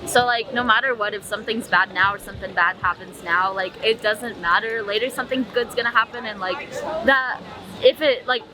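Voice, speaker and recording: A woman's voice: a little high, a little fast, rough recording. A woman from New York answering in a rambling way full of fillers. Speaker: passerby. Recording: street crowd with music.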